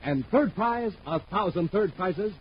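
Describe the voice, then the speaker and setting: Old time radio announcer guy, highish voice, emphatic and dramatic, dull lo-fi sound. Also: animated, unhurried. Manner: emphatic, dramatic showman delivery. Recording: muffled old radio recording, faint noise.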